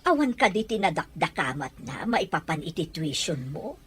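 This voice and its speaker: A woman playing a concerned, probing mother in an audio drama, with a husky voice and an Ilocano accent. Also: low voice, very animated, normal speed, full character voice.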